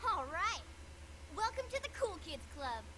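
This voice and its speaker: A woman's voice: extremely high pitch, sing-song, a little slow, bright, forceful tone, exaggerated voice. A woman voicing a peppy, brash teenage girl recruit with a cocky, slangy manner in a video game. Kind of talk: English video game voice acting.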